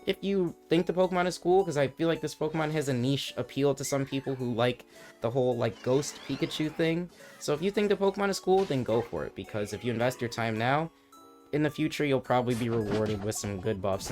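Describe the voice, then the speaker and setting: A man hosts his gaming channel in a loose, rambling tone, his voice going a bit hoarse. Music plays clearly behind him while he talks at a middling pitch. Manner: relaxed, loose, lots of filler "like" and restarts. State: losing his voice. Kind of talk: casual game commentary. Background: background music.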